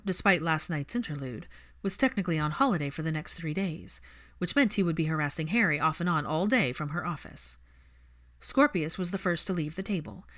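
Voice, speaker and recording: A woman's voice: on the low side, fairly bright tone. A woman narrating fan fiction as scripted audiobook storytelling.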